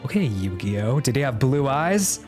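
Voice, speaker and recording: A man's voice: mid-range voice, very animated, a little slow, darkish tone, soft background music. A man streaming a game with casual commentary, making wry, self-deprecating asides. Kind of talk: casual livestream commentary and reading game dialogue aloud.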